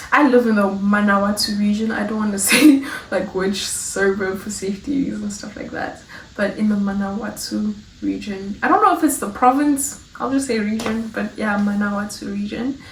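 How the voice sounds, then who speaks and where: A young woman from South Africa talks casually to her viewers, rambling a bit and often laughing. Her voice sits at an ordinary pitch. She sounds a step back from the mic in an echoey room with faint ambience. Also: creaky, recorded from a distance, a little hiss or hum. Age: 20s. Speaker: vlogger. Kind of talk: casual chat to camera.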